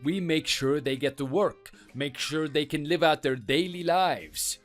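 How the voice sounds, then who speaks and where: A man voicing a scornful, boastful French mechanic who taunts and belittles in a lower, quieter voice, with faint music behind. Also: a little slow, natural voice. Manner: taunting, contemptuous.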